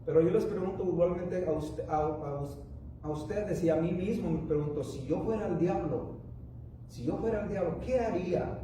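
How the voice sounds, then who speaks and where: A man's voice: sits high, heavy reverb, far from the mic, crowd noise, poor audio. A man preaching a sermon, a native English speaker who halts and restarts often as he speaks. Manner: rambling, halting with many restarts and fillers. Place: church.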